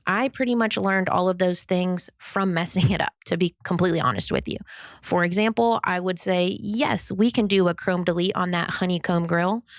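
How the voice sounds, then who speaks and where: A young woman with a high-pitched voice and a gravelly fry at the ends of her phrases, talking alone on a podcast. She sounds upbeat and candid, poking fun at herself as she explains her points. The recording is close and dry, with no room sound. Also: normal speed, no echo. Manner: upbeat, candid, self-deprecating. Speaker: podcast co-host. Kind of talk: solo podcast advice talk.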